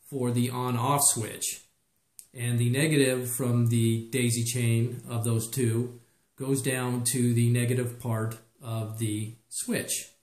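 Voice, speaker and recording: A man's voice: medium pitch, darkish tone. Man explaining steps in echoey room. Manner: plain, methodical, step-by-step. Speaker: DIY project presenter. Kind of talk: instructional how-to narration.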